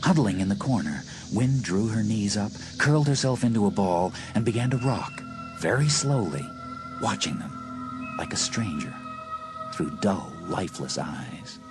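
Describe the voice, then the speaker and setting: A man's voice: on the low side, animated, unhurried, over music, low-quality recording. A man narrating a horror audiobook in a suspenseful, theatrical way.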